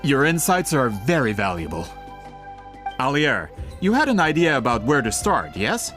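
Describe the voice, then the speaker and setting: An actor playing a courteous, earnest investigator in a video game, speaking diplomatically. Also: male voice, mid-range voice, very animated, moderate pace, a bit bright, soft background music. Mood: polite, earnest. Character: polite, earnest, eager male investigator. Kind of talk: video game character voice acting.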